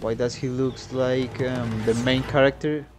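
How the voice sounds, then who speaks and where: A young man reacts casually to game videos in slangy, exclamatory bursts. He takes his time, thinking aloud in a medium-pitched voice while game music plays behind him. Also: level tone, measured pace. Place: small home room with guitars. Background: game trailer music.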